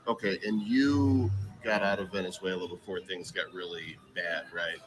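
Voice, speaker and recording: A man's voice: low voice, steady tone, normal speed, over music, low-quality recording. A man hosting a casual interview on location, asking questions in an emphatic way. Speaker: interviewer, host.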